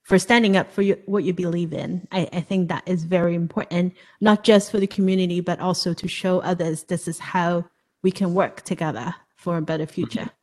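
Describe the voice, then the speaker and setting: A woman from Hong Kong talks with a guest as the interviewer. She speaks earnestly and reflectively, linking her thoughts into long stretches. Her voice sits a little low for a woman. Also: fairly even intonation, fairly quick, darkish tone. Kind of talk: conversational interview.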